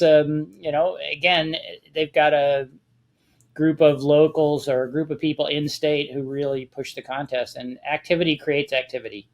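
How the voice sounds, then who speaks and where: A man with an American accent talking casually and explaining a hobby step by step, with a measured, conversational manner. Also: on the high side. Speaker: amateur radio contest guest expert.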